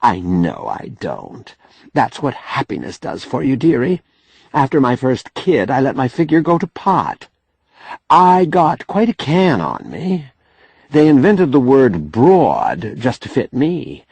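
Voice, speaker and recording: A man's voice: medium pitch, huge pitch swings, takes it slowly, darkish tone, no room sound, pitched above the performer's own voice, heavily acted. A man voicing a cheerful, brassy middle-aged woman from a novel, with a folksy, affectionate, teasing manner. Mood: proud, jolly. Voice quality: husky.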